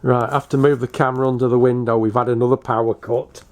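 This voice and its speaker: A man's voice: medium pitch, flat delivery, fast talker. An older British man giving a casual show-and-tell commentary in a hesitant, matter-of-fact way. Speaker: hobbyist video presenter.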